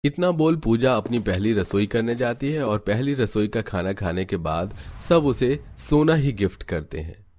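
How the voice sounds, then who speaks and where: A man's voice: lowish voice, lively intonation, moderate pace, a little mellow. A man reading scripted narration for a cartoon family story.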